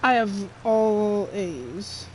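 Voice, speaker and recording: Casting: female game streamer, mid-pitched voice, casual slangy grumbling, noticeable background noise. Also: lively intonation, extremely slow. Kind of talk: casual gameplay chat.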